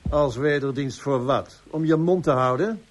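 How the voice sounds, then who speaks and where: Actor as authoritative, wry police inspector. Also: male voice, medium pitch, normal speed, natural voice. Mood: dry, probing. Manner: authoritative, dryly ironic. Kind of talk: radio drama acting.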